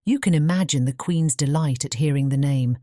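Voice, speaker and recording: A woman's voice: low-pitched, a little fast, mellow, no echo, studio quality. A woman with a British English accent reading scripted fairy tale narration as an audiobook narrator.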